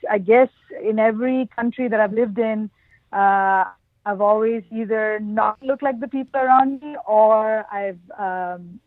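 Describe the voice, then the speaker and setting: A woman's voice: medium pitch, dry recording. A woman from Oman and India answering as a podcast guest, telling personal stories in a conversational interview style. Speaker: podcast guest, production engineer. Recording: lo-fi band-limited call audio.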